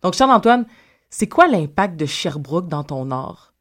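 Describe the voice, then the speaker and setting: A woman's voice: lowish voice, very animated, measured pace. Hesitant Quebec French woman interviewer. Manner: hesitant, repeats words, laughs easily. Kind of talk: casual interview questions.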